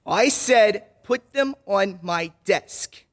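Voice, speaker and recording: Man acting a pompous high-voiced judge. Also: expressive, very slow, fairly bright tone, heavily acted. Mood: impatient. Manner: formal, pompous, declamatory. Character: stern, pompous courtroom judge, easily flustered. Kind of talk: old-time radio drama acting.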